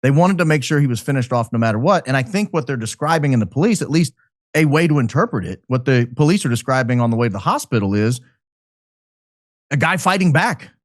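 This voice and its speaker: A man's voice: mid-range voice, expressive, fast talker, no echo, clean studio sound. Man giving emphatic talk-show commentary. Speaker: podcaster guest.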